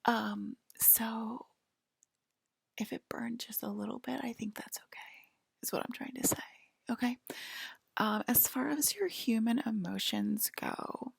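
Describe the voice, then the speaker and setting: A woman doing an ASMR roleplay in a breathy whisper and soft talk, reassuring the listener like a clinician and often checking in. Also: on the high side, a little slow, dry recording, studio quality. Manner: hesitant, reassuring, clinical patter with frequent checking "okay?".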